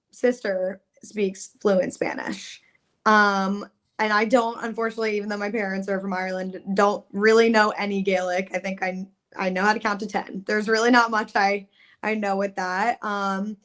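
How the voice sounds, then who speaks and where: A woman's voice: medium pitch, moderate pace, a bit bright. A young adult woman, a singer guest on a podcast, chatting casually and upbeat with many "like"s and "um"s. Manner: chatty, upbeat, uses "like" and "um" often. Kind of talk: casual interview chat.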